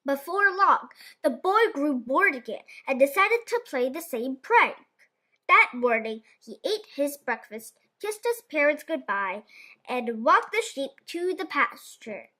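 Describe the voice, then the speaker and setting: A woman's voice: high-pitched, big pitch swings, measured pace, bright, forceful tone. A woman storyteller narrating a children's picture book expressively.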